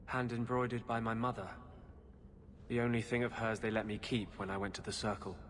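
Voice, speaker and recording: An actor playing a troubled, earnest rebel mage in a fantasy game, heavy-hearted and resigned in manner. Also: male voice, medium pitch, level tone, fairly quick, a bit bright, lightly acted. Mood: sincere. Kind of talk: dramatic game dialogue.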